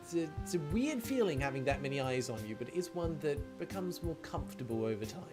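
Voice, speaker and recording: Animated male game streamer reading aloud. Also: on the high side, fast talker. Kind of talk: reading visual novel narration aloud with casual commentary. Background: music.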